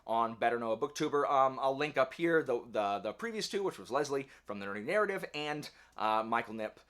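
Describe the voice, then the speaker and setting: A man's voice: mid-range voice, animated, fairly quick, fairly bright tone. A man chatting casually to camera as a channel host, rambling along in a wry, self-deprecating way. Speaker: YouTube book channel host. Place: indoor home office.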